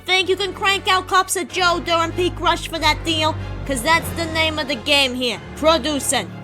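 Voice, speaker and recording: A woman's voice: very high voice, normal speed, music in the background. A woman voicing a bossy, demanding coffee shop manager for a fan comic dub.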